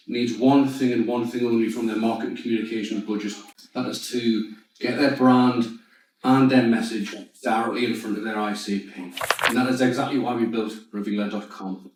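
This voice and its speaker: A middle-aged man with a Geordie accent delivers a startup pitch with firm, emphatic confidence. His voice sounds distant, as if picked up from far across the room. A heavy echo from a large hall surrounds it. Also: darkish tone. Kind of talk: pitch and Q&A on stage. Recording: echoey hall, distant mic.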